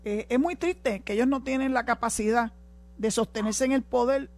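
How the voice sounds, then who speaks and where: A woman's voice: on the high side, steady tone, moderate pace, a little mellow. An older woman with a Puerto Rican accent hosting a political call-in show in a direct, emphatic way. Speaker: radio call-in host.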